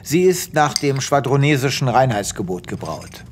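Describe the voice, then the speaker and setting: A man's voice: mid-range voice, big pitch swings, a little hiss or hum, natural voice. An actor playing a self-important, easily exasperated male space vet in a comedic sci-fi audio drama, pompous and brusque. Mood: businesslike. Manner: pompous, brusque, halting when flustered.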